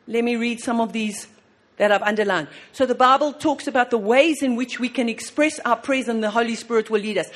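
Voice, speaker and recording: A woman preaching a sermon on prayer, fervent and full of repeated praise, swinging between soft and loud. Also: on the high side, a little fast.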